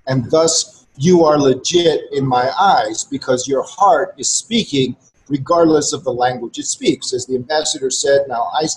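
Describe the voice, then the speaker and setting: A man's voice: medium pitch, a little slow, slight background noise, low-quality recording. A man whose first language is Greek, a regular co-host, speaking to listeners in a blunt, earnest, lecturing way. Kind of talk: casual conversation to listeners.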